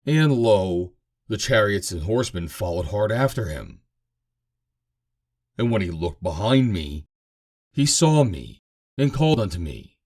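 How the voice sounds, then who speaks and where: A man's voice: on the low side, sing-song, a little slow, long pauses, a little mellow, heavily acted. A man voicing an earnest young Amalekite messenger, declaiming his report in a scripture reading.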